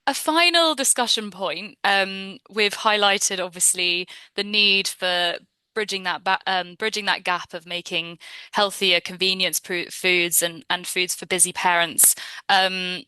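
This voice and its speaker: A woman moderating a webinar panel, her voice bright and crisp. She sounds close and dry, and she frames questions hesitantly with frequent ums. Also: fairly low pitch, measured pace, no echo. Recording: webinar over video call.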